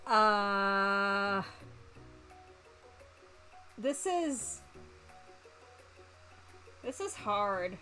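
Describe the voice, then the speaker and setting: A woman streamer chatting casually and reading game text aloud, hesitant and thinking out loud. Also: medium pitch, expressive, drawn-out words, pauses often, soft background music. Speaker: streamer playing a visual novel for viewers.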